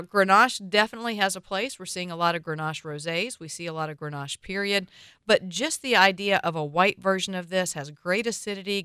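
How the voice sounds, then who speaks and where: A woman's voice: fairly low pitch, pushed and bright, clean tone, no room sound, clean studio sound. A woman with a Southern US accent giving a chatty, confident solo talk on wine trends as a wine educator, with playful asides to her listeners. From American South.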